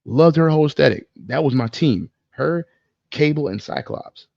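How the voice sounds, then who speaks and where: Male podcast co-host: creaky voice, slangy, profane, opinionated, slightly dull but dry recording. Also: fairly high pitch, animated, normal speed, darkish tone, no room sound. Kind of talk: casual film discussion.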